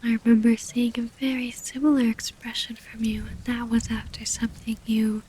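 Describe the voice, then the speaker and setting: Casting: actress as wistful female vampire sweetheart, higher voice, soothing breathy whispers, intimate ASMR, faint rainy noise behind. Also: level tone, mellow, close to an everyday voice. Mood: concerned, adoring. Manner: breathy half-whisper, soothing, hesitant pauses. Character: tender female vampire lover, devoted, gently predatory, wistful. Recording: close-up with rain ambience, faint noise.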